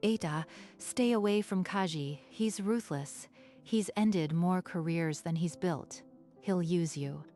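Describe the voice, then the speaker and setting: Caring, low-pitched young woman, acted by a woman. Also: slow, dark tone. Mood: grave. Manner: earnest, soothing, concerned. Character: caring, protective young female confidante of an athlete. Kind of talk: animated manga dub acting. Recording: music under the voice.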